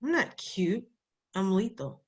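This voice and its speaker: A woman's voice: medium pitch, animated, slow. A woman playing a small, feisty, defiant young sidekick in a screenplay read. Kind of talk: screenplay table read, dramatic dialogue.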